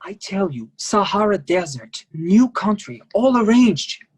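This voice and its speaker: A man's voice: high voice, big pitch swings, unhurried, breathy edge, heavily acted. A man playing a desperate foreign fugitive masseur in a staged play reading, pleading in clipped broken English. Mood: coaxing.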